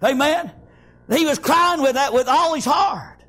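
Male revivalist preacher, Southern US accent, very high-pitched, fervent and loud, peppering phrases with exclamations of praise. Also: expressive, a little slow. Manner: repetitive stop-and-go phrasing with frequent interjections. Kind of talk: impassioned revivalist sermon.

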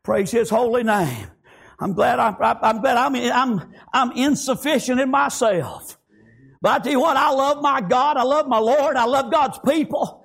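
A man preaching a revival sermon, fervent and often shouting, with constant "praise God" and "amen". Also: very high-pitched, normal speed, vocal fry, breathy edge.

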